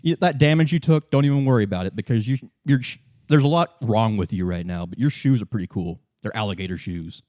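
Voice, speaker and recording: A man running a tabletop game as game master, narrating off the cuff in a halting, informal and wry way. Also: lowish voice, darkish tone, dry recording, studio quality.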